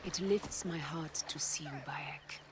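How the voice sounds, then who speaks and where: Faint ambient noise sits behind a measured female voice. It is a grieving yet resolute Egyptian wife, devoted to her queen's service in a dramatic video game. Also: low-pitched. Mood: soft, gentle.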